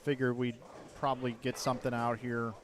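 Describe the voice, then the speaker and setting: A man's voice: mid-range voice, flat delivery, brisk, a crowd in the background. A man hosting casual bowling tournament commentary, easygoing and matter-of-fact. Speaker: stream commentator. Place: bowling alley.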